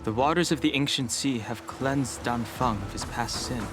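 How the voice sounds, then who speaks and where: A man's voice: medium pitch, normal speed, music in the background, close to an everyday voice. A man playing a reserved, resolute young man in a video game, serious and restrained. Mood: solemn.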